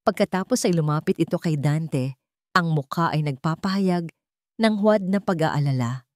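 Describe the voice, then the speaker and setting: A woman's voice: deep voice, moderate pace, no echo, clean studio sound. A woman reading a dramatic scripted story as its narrator.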